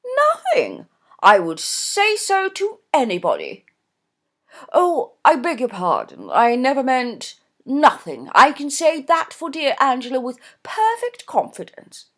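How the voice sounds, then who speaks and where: Casting: gushing plump middle-aged mother, shrill and insistent, read by a woman. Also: huge pitch swings, takes it slowly, fairly bright tone, full character voice. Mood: emphatic. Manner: insistent, fussy, high-pitched exclamations.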